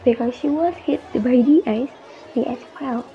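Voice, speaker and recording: A woman's voice: high voice, normal speed. A young woman reading scripted narration for a student radio drama. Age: young adult. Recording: dull, noisy amateur recording.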